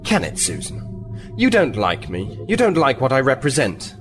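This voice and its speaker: An actor playing a firm, formal military captain who is politely confrontational, in a sci-fi audio drama. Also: male voice, mid-range voice, wildly swooping pitch, moderate pace, over music. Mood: stern. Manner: formal, assertive.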